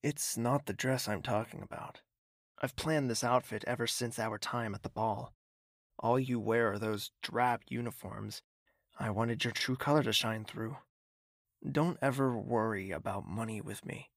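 A man playing a wealthy, smitten, possessive young nobleman in an intimate audio drama, speaking in a husky, teasing, courtly way. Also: mid-range voice, unhurried, no room sound, studio quality. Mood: assured, devoted. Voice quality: husky, breathy, creaky.

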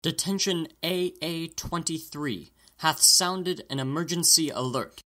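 A woman's voice: deep voice, measured pace, a bit bright. A woman playing an officious imperial trooper in a Shakespearean dramatic reading. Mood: officious, suspicious.

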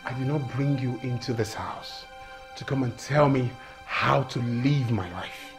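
A man playing a furious husband over loud music. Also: medium pitch, unhurried, lightly acted. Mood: contemptuous. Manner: harsh, commanding, shouts orders. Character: husband throwing his wife out.

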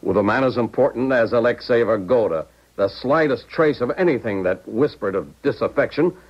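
Actor as brusque agent on dull old radio. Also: male voice, medium pitch, expressive, a little fast, lightly acted. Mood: impatient. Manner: blunt, pressing. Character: brusque, impatient, worried intelligence agent. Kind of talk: 1970s radio drama acting. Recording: dull 1970s radio recording.

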